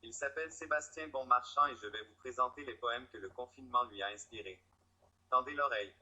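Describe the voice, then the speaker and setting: A man's voice: medium pitch, brisk, bright, forceful tone, a little hiss or hum, poor audio. A man voicing a pompous, wry talking cat who loves poetry and presents it in a scripted style. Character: haughty talking poet cat, playful, male, poetry lover.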